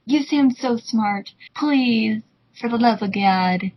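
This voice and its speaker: A woman's voice: on the high side, takes it slowly, lo-fi sound. A tipsy woman giving sardonic, blunt casual commentary among friends. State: tipsy from drinking.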